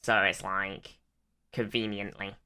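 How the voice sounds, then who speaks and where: A man's voice: on the low side, steady tone, takes it slowly, pushed and bright, clear, resonant tone. A young man co-hosting a podcast, rambling with restarts and fillers as he retells favourite moments. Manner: rambling, full of restarts and fillers, giggles while retelling jokes. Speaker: podcast co-host. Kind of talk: casual chat.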